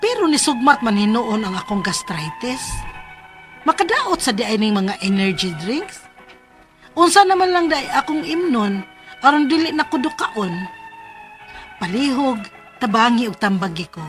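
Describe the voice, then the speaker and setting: A woman's voice: fairly high pitch, big pitch swings, measured pace, long pauses. A woman with a Cebuano accent acting a weary, coffee-addicted working mother who confides her troubles in a radio drama. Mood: troubled, pleading. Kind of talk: Philippine radio drama acting and first-person letter narration. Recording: music bed under the voice.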